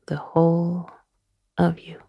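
A woman guiding a meditation in a soothing, gentle, unhurried way, often trailing into a breathy near-whisper. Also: fairly low pitch, completely flat delivery, no room sound, dull audio. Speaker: meditation guide. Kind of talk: guided meditation.

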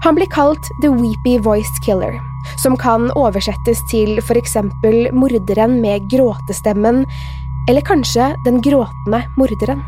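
A woman hosts a true crime podcast in a fairly high voice. She reads from a script as a narrator, with faint music behind her.

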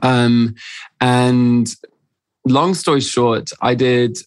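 A man's voice: medium pitch, steady tone, drawn-out words, dry recording. A man who grew up in Switzerland and France, a podcast guest telling his own story in a candid, chatty way with plenty of "um"s. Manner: candid, chatty, many fillers. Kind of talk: casual storytelling interview.

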